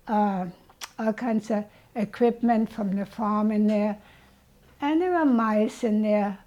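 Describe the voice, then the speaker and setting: A woman, telling her childhood story as an interviewee in a slow, reflective way. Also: fairly high pitch, darkish tone, dull audio. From Germany. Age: 80s. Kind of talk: reminiscing about childhood.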